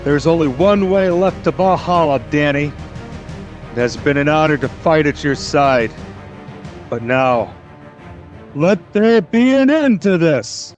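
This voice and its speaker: A man's voice: on the high side, lively intonation, unhurried, long pauses, dull audio, soft background music. A man playing a noble, resolute thunder god, solemn and heroic, in an audio drama.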